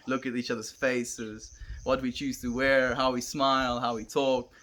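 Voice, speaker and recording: A young South African man chatting on a live stream outdoors, earnest and gentle, calling his viewers friend. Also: medium pitch. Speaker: livestreamer. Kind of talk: casual live stream chat reading comments. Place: outdoors, wind and cows nearby.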